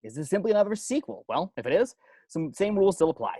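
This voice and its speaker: A man's voice: high-pitched, big pitch swings, fast talker, warm tone, husky, no room sound, clean studio sound, exaggerated voice. A man playing a dead young film geek who explains horror rules on a homemade video, emphatic and stop-and-start, in an amateur table read. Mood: earnest, ominous.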